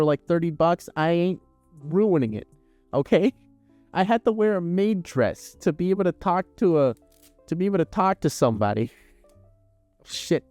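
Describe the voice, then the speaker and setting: A man's voice: sits high, darkish tone, clean tone, no echo. A young man chatting casually on a live stream while crafting, rambling, laughing and swearing as he reads chat aloud. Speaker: arts and crafts livestreamer.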